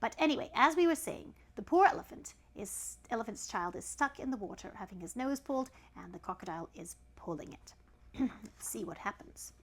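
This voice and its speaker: Lively, high-voiced woman reading a children's story. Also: a little fast, slightly rough. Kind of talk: animated read-aloud with chatty asides to a child.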